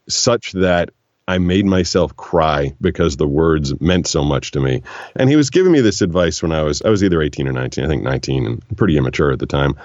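A man with a very low, deep voice tells stories in an animated, relaxed way, slipping into other voices when he quotes people. The room is dry and close. The sound is a little dull and lo-fi. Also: no echo. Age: 40s. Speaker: guest, voiceover actor. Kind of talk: relaxed storytelling conversation.

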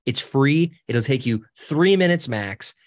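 A man with a high voice and a cackling laugh, a podcast guest talking casually and cheekily with many restarts. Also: unhurried. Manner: animated, cheeky, rambling with restarts. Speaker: podcast guest, social media co-founder. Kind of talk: casual interview talk.

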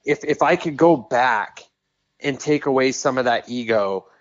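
A man in his thirties chats as a podcast guest, rambling along quickly with lots of "like" and "you know." His voice sits in a mid range. The recording sounds somewhat dull and muffled. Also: medium pitch, no room sound. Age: 30s. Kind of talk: casual conversation.

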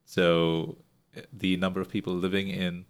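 A man hosting a casual chat, asking questions in a relaxed way with lots of "uh" and "like". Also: low voice, normal speed, clean tone. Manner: relaxed interviewer, hesitant with "uh", laughs along. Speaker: host.

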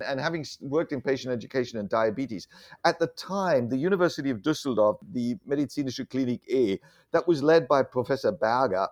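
A middle-aged man from Germany, a physician, talking reflectively and conversationally as an interview guest, explaining things carefully and often repeating words. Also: medium pitch. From German, raised abroad. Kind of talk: conversational life-story interview.

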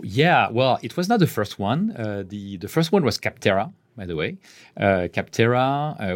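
A man in his fifties speaks English with a French accent. His voice sits on the low side, and he chooses his words carefully, pausing often and letting phrases fade quietly at their ends. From France. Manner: measured, often pausing mid-phrase, voice trailing off softly. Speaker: guest executive. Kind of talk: podcast interview answers.

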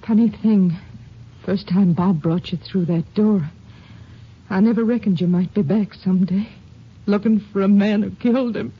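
An actress playing a grieving sheriff's widow in a 1950s radio drama, speaking softly and haltingly with a Texan accent. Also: female voice, mid-range voice, husky, natural voice. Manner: hesitant, halting. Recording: muffled old radio recording.

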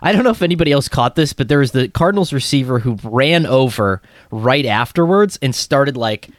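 A man's voice: medium pitch, expressive, brisk, no room sound, studio quality. A man co-hosting a sports podcast, talking loosely and rambling through his thoughts. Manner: rambling, many fillers and restarts. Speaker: podcast co-host. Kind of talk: casual sports podcast chat.

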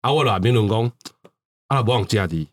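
A man's voice: on the low side, animated, quick pace. A man with a Taiwanese accent chatting casually on a podcast, often repeating words and phrases as he explains.

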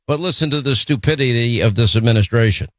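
A man's voice: medium pitch, quick pace. A man hosting a talk radio show, commenting in an indignant, emphatic way into a studio mic. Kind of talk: opinion commentary.

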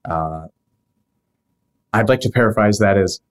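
A man's voice: fairly low pitch, a little slow. A man hosting a podcast, reading scripted narration broken up by casual asides. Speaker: podcast host.

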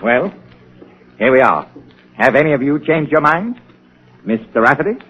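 An actor playing a stubborn, imperious museum official in a 1930s radio drama, forceful and scornful, with a husky voice. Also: male voice, a little high, very animated, moderate pace. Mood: stubborn resolve. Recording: dull 1930s radio recording.

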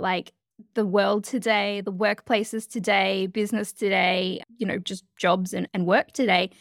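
An energetic Australian woman chats as a podcast guest in a bubbly, quick-laughing way. Her voice sits a little high and has a gravelly rasp. The sound is dry, with no room echo. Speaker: guest, change leadership expert. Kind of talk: casual interview chat.

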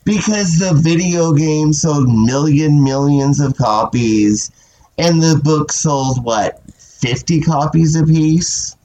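A man hosting a podcast and chatting casually in a sassy, animated way, swearing often and sounding stuffy from a cold. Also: fairly high pitch, slow pace, lo-fi sound. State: stuffy with a cold, sore throat. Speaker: podcast host. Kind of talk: casual chat.

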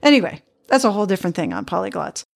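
A woman's voice: mid-range voice, expressive, rapid-fire, creaky. A middle-aged woman hosting a podcast, chatting in a reflective, easygoing way and laughing easily. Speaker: podcast host. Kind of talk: casual interview chat.

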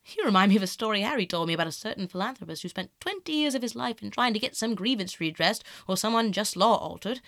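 A woman's voice: medium pitch, fairly bright tone, dry recording, clean studio sound, pitched above the performer's own voice. A woman voicing a vain, callous young aristocratic man in an audiobook, with a theatrical, airy, emphatic manner. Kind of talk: audiobook character acting.

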